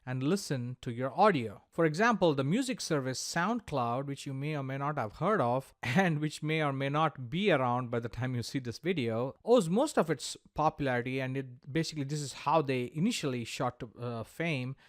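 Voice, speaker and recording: A man presenting a software tutorial, explaining a product's features step by step in a demo narration. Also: medium pitch, animated, normal speed, few pauses, no room sound, studio quality.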